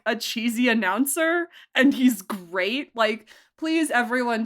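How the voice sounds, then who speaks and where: A woman producer and director giving a casual interview, animated and laughing often as she gushes about the work. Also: fairly high pitch.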